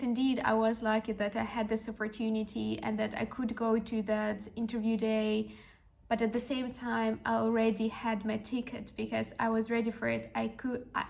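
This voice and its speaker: A woman's voice: a little high, creaky, darkish tone. A woman in her twenties, a podcast host telling a personal story in an earnest, encouraging way with frequent restarts. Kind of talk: reflective personal storytelling monologue.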